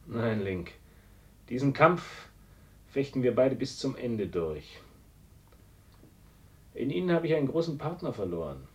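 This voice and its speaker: An actor playing a proud, ruthless industrialist with a commanding, defiant manner in a radio drama. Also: male voice, medium pitch, big pitch swings, unhurried, long pauses, a little mellow, muffled recording. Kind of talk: 1970s German radio drama acting.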